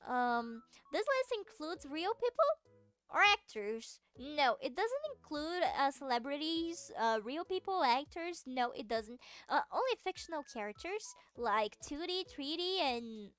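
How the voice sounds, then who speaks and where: A woman's voice: sits high, very animated, measured pace, a bit bright, faint music behind. A young woman from Brazil with a Brazilian Portuguese accent, chatting casually with her viewers, rambling with lots of fillers and giggles. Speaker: streamer.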